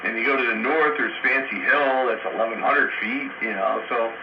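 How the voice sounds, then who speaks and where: A man's voice: medium pitch, moderate pace. A man, a radio hobbyist, chatting casually over a two-way radio. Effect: two-way radio transmission. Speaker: amateur radio operator. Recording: muffled, very noisy two-way radio.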